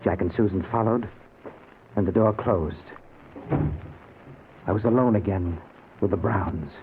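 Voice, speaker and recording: A man's voice: fairly low pitch, measured pace. Anguished dead man, old noisy radio. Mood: grave, stunned narration. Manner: theatrical, intense, pleading. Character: newly dead man, anguished, disbelieving, narrating his afterlife. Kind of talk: old-time radio drama acting and narration. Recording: noisy, narrow-band old radio recording.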